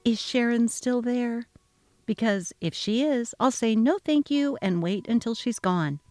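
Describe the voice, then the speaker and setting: A woman's voice: a little high, measured pace, a little mellow, no room sound. A woman narrating a story in text-message form, voicing the young mother with indignant expression. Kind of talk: dramatic reading of text messages.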